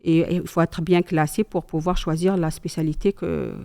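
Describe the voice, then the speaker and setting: A middle-aged woman from Algeria, a mother telling her family's story in a calm, thoughtful casual chat with pious asides. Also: low-pitched, normal speed, vocal fry. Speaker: mother sharing memories.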